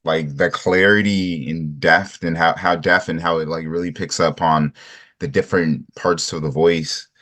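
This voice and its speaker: A man's voice: sits low, fairly even intonation, moderate pace, bright, forceful tone. A man learning audio mixing, chatting casually on a video call in a rambling, easygoing way. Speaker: student.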